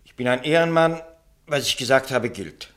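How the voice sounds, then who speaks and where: Radio drama casting: man playing a nervous, honour-bound underling, medium pitch, very animated intonation, pleading. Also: normal speed, natural voice. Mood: anxious, reluctant. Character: reluctant, anxious newspaper man insisting on his honour. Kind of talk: German radio play acting.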